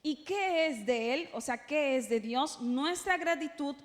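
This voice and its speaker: A woman with a Peruvian Spanish accent and a high voice teaches a church class. She speaks informally, with playful asides and frequent little checking tags. Her voice rings through a hall with long reverb. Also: animated, unhurried. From Peru.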